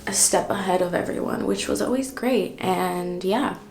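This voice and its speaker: A woman's voice: on the low side, normal speed, creaky, darkish tone. A young Dutch-born woman in her twenties with an American-sounding accent, chatting casually while doing her makeup, using "like" often. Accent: American-sounding English. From Netherlands. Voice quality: slangy, says "like" often. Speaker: beauty vlogger. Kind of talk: casual get-ready-with-me chat.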